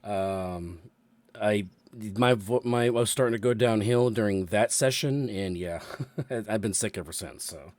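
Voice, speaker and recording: A man with a cold, hoarse, hosting and running a tabletop game session, halting with many fillers. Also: lowish voice, a little mellow, dry recording. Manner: halting, many ums and restarts. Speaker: dungeon master and show host. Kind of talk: casual announcements and chat. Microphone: new microphone.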